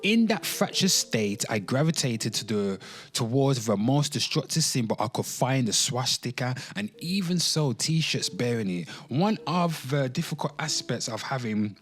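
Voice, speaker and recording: A man's voice: mid-range voice, lively intonation, unhurried. A man hosting an online show, mixing casual, rambling, slangy commentary with dramatic reading aloud and repeating phrases for emphasis. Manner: slangy, frequently swearing, addressing listeners as people.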